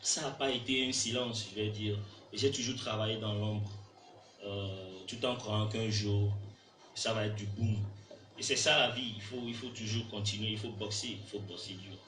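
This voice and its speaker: Echoey Togolese man, bright voice. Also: on the low side, steady tone, normal speed. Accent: Togolese French. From Togo. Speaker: guest rapper. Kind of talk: casual radio interview answers. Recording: echoey room, distant mic, faint music.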